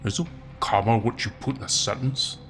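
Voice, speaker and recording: A man voicing a sardonic, foul-mouthed Satan who reads an old book aloud in a theatrical, deliberate way with wry asides, as comic narration. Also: mid-range voice, fairly bright tone, faint music behind, natural voice. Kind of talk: comic audiobook-style narration.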